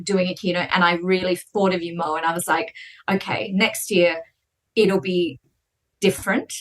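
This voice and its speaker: A woman in her thirties, a podcast guest chatting in an animated, fast storytelling way. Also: medium pitch, rough recording. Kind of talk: casual conversation.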